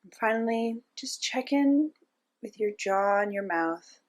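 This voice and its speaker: A woman narrating a guided meditation as a teacher, giving gentle step-by-step instructions with frequent pauses. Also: on the high side, animated.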